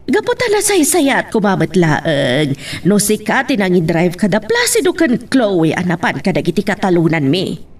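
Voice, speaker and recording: A woman acts an anxious lady of a wealthy household in a radio-style drama. Her voice is mid-pitched and lively, with a rough, husky edge. She sounds slightly distant from the mic. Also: moderate pace. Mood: uneasy, questioning.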